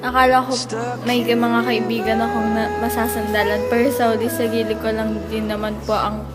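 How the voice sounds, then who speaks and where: High-voiced troubled teenage schoolgirl played by a woman. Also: little pitch movement, fairly quick, loud music behind the voice, close to an everyday voice. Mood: regretful. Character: troubled teenage schoolgirl, obedient, regretful, lonely. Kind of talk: amateur school radio drama acting.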